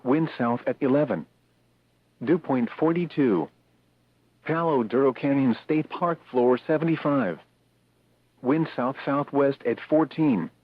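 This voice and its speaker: A synthetic text-to-speech man reading a scripted weather bulletin as an automated radio announcer, in measured, clipped, impersonal phrases over a broadcast radio signal. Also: mid-range voice, phone-quality sound.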